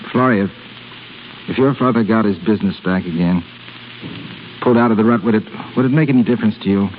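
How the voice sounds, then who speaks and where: A man's voice: fairly low pitch, fast, lightly acted. An actor playing an earnest, proud, heartsick young man in a 1930s radio drama. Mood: troubled, hopeful. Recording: noisy narrow-band 1930s radio broadcast.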